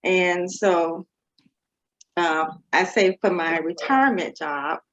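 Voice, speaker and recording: A woman physician introducing herself on an online panel, halting, with many fillers and frequent laughs. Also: on the low side, highs cut off. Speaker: physician guest.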